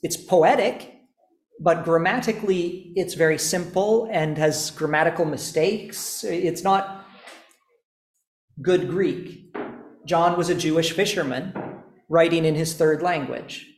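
Slow, deliberate male teacher in an echoey room. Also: on the high side. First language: not English, also speaks Estonian. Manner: slow, deliberate explaining with pauses. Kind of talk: classroom lecture.

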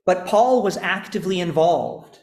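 A man teaching a class, explaining slowly and deliberately with pauses. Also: high voice, lively intonation, creaky, darkish tone. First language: not English, also speaks Estonian. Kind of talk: classroom lecture. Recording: echoey room.

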